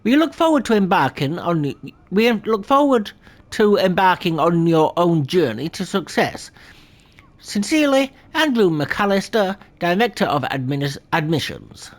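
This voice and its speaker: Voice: medium pitch, sing-song, a little slow. A gameplay commentator who reads in-game letters aloud in a plain voice, stumbling and correcting now and then, with chatty asides to the viewer.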